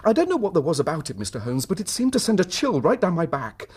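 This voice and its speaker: A man's voice: fairly high pitch, sing-song, fast talker, darkish tone, husky. An actor playing an earnest, troubled middle-class English husband telling his story in formal period language for a radio drama. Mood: agitated, uneasy.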